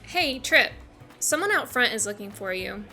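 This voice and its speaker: A woman's voice: on the high side, sing-song, normal speed, over music. A woman playing a perky, teasing bartender in an audio drama.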